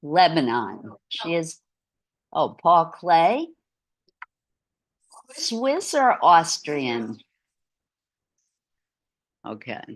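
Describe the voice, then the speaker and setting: A woman's voice: sits low, big pitch swings, extremely slow, pauses often. A middle-aged woman giving an art lecture over a video call from a room at home, with chatty asides.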